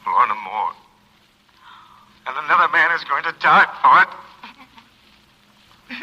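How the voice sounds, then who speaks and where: An actor playing a tormented, lovesick murderer in a 1940s radio drama, heard as a played-back recording. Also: male voice, fairly high pitch, sing-song, a little slow, sharp tone, husky, full character voice. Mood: anguished confession. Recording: dull, reverberant vintage radio recording.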